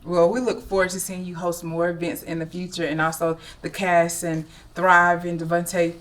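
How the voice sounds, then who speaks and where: A woman's voice: on the low side, flat delivery, moderate pace. A woman hosting a reality show reunion, asking cast members questions with encouragement and frequent laughter. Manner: warm, encouraging, laughs often. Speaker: reunion host. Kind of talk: casual interview questions.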